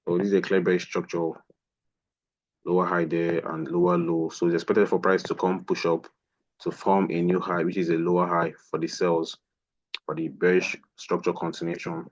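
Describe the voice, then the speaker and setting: A man's voice: deep voice, flat delivery, moderate pace, muffled recording. A man teaching a live online trading lesson, explaining a price chart and repeating phrases as he points things out. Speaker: trading instructor.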